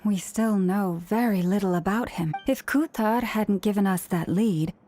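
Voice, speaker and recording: A woman voicing a calm, thoughtful scholarly woman in a video game, speaking deliberately. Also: mid-range voice, slight background noise, natural voice. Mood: pensive.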